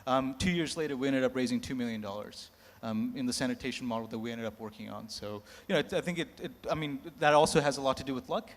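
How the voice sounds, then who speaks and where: A man's voice: medium pitch, fast talker, hardly any pauses. Candid man in an echoing hall. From United States. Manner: candid, self-mocking, laughs between lines. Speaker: panelist. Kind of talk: candid anecdotal storytelling. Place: large conference hall. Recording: echoey hall reverb.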